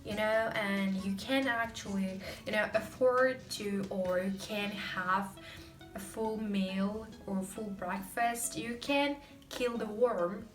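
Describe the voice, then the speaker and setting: A woman's voice: medium pitch, fairly even intonation, takes it slowly, reverberant, distant mic, soft background music. A young woman with a Russian accent, hosting a casual language lesson, explaining chattily with many fillers. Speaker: host.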